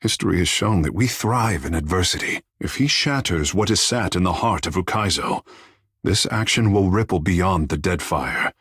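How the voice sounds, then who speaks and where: Game role: young, self-regarding demigod artist; low husky voice, grand formal phrasing, close dry sound. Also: male voice, lively intonation, moderate pace, fairly bright tone, no room sound, exaggerated voice. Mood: resolute, grave. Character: vain, theatrical young male demigod water shaper and artist. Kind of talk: video game character dialogue.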